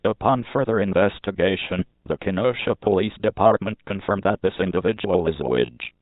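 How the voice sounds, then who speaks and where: A man's synthetic-sounding voice reads a scripted emergency alert in a formal, flat manner over narrow, phone-like audio. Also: deep voice, a little fast, dry recording.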